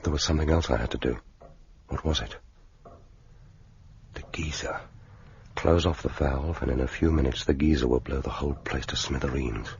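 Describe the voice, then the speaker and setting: An actor playing a resolute British secret agent, thinking tersely to himself in a radio drama. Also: male voice, extremely low pitch, moderate pace, pauses often, a little mellow. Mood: urgent, self-questioning. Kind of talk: radio drama inner monologue. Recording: dull, noisy radio recording.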